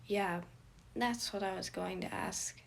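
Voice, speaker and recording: A woman voicing a shy, nervous small boy in a story, speaking in a hesitant, halting way. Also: medium pitch, steady tone, vocal fry, slight background noise. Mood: sheepish.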